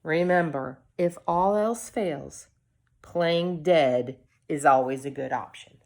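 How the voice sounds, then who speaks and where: A woman reading a storybook aloud to children, expressively, with deliberate dramatic pauses and short asides to her viewers. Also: low voice, gravelly, darkish tone, a little hiss or hum.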